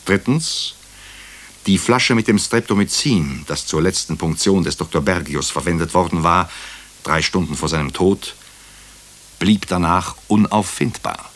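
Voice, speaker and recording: An actor playing a brooding hospital doctor who narrates a radio crime drama in clipped, deliberate phrases. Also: male voice, low-pitched, a bit bright. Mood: grave, measured. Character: brooding male hospital doctor recounting a murder case. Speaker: actor narrating as the lead character.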